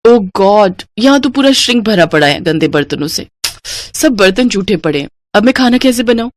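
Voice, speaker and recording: A woman voicing a bossy, quarrelsome elder sister-in-law with a sharp-tongued, sarcastic way of scolding, in a family story. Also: mid-range voice, lively intonation, moderate pace, close to an everyday voice. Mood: exasperated scolding.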